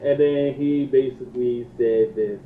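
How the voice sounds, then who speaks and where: A man's voice: medium pitch, steady tone, measured pace, slight background noise. A man co-hosting a casual commentary chat in a blunt, mocking way. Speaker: commentary co-host. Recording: tinny phone-like line with echo.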